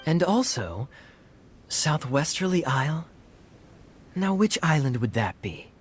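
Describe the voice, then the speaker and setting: A man's voice: on the high side, very animated, slow pace. A man voicing a suave, playfully teasing young knight in a video game. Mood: musing. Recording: music behind the voice.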